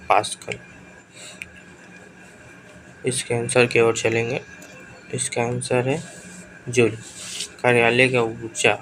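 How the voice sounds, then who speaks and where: A man teaching an exam-prep lesson with a sore throat, reading out quiz questions and options in a brisk, hurried way and pushing viewers to answer quickly. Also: mid-range voice, fairly bright tone, slight background noise, rough recording.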